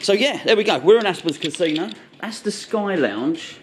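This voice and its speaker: A man with a fairly high voice chats casually to his camera as a match day vlogger. He rambles and repeats himself. There is clear background noise around him on the handheld recording. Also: expressive, moderate pace. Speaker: vlog host at a football match day. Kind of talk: casual vlog chat. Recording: noisy handheld camera recording, busy ambience. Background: clear background noise of venue and match.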